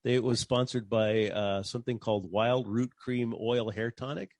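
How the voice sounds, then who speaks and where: A man's voice: medium pitch, fairly even intonation, normal speed, darkish tone, dry recording. A man leading a casual, light-hearted chat among performers.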